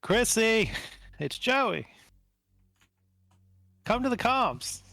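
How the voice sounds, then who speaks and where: A man playing a wary, protective military pilot husband in a tabletop game, talking in a halting, plain-spoken way. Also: high-pitched, big pitch swings, gravelly, bright, forceful tone, dry recording, voice pushed up, heavily acted. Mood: calling out. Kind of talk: tabletop role-play dialogue.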